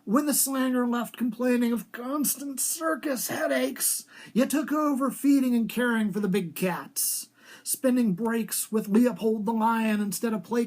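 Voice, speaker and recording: A man's voice: high voice, a little mellow. A man speaking as an author at a live reading of short fiction, with an emphatic storytelling delivery. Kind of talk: live dramatic literary reading.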